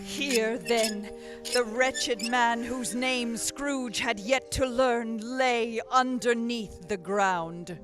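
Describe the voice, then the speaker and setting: A woman's voice: on the high side, extremely slow, over music. A woman giving scripted storytelling narration in a radio play.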